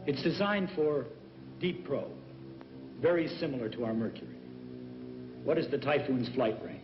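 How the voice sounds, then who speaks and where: An actor playing an earnest, respectful space scientist in a dubbed old science fiction film, in measured formal speech. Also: male voice, medium pitch, animated, natural voice. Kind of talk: dubbed 1960s sci-fi film acting. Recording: echoey, dull old film soundtrack, music.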